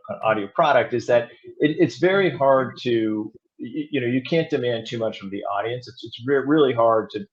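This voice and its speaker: A man with a fairly low voice speaks as a guest in a casual interview. He explains things thoughtfully, often restarting and feeling for words. The audio is a bit dull and lo-fi. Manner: halting, thoughtful explaining with frequent restarts and "you know". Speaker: audio drama producer and director, interview guest.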